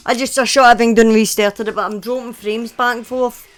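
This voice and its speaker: A woman's voice: on the high side, fairly even intonation, fast. A woman in her thirties with a Scottish accent, telling true crime stories casually on a live stream, often breaking off into chatty asides and correcting herself. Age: 30s.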